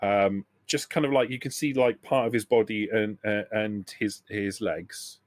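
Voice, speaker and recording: A man running a tabletop roleplaying game, improvising narration in a halting way, often repeating and rephrasing his words. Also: lowish voice, steady tone, dry recording. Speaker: game master.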